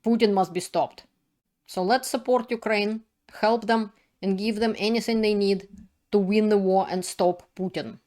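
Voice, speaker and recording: A woman from Russia with a Russian accent, a non-native speaker, hosting her own channel and talking through news to camera in halting, loosely built sentences. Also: medium pitch, steady tone, darkish tone. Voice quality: non-native grammar, halting phrasing with restarts. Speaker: video channel host. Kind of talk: casual commentary to camera.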